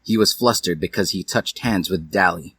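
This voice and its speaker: A man's voice: sits low, moderate pace, a bit bright, slight background noise. A man reading fan fiction aloud as a theatrical, mock-earnest storyteller.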